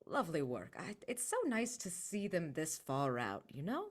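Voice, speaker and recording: A man's voice: fairly high pitch, sing-song, moderate pace. A man voicing a sincere, nostalgic devotee of sacrifices in a tabletop game, musing effusively in a conversational way. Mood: sincere admiration.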